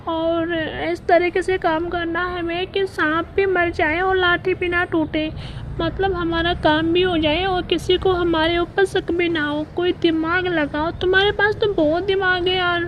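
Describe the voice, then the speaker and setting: A man's voice: extremely high pitch, flat delivery, a little slow, pitched above the performer's own voice. A man voicing an aggrieved, scheming young married woman who pleads and complains in a mimicked phone-call comedy skit. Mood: conspiring. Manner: plaintive, complaining. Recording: muffled, noisy phone-call style recording.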